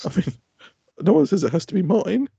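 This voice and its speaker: A man's voice: high voice, big pitch swings, brisk, creaky, dark tone, husky, no echo, dull audio. A man in his thirties co-hosting a comedy podcast, blunt and sweary in casual joking chat. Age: 30s. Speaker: podcast co-host.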